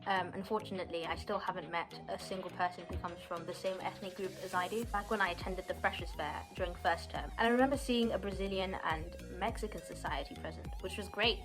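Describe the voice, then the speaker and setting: A woman's voice: mid-range voice, quick pace, few pauses, music in the background. A young woman, earnestly telling her personal story as a student, with some hesitant fillers. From London, England. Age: 20s. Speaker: university student. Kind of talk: personal story, read to camera.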